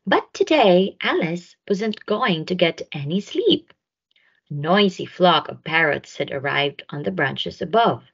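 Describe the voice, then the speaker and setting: A woman reads a children's story at a slow pace with very lively intonation. She leans on words for young listeners, and her lowish voice has a husky edge. The recording is dull and lo-fi. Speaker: storyteller reading a picture book. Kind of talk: expressive children's read-aloud narration.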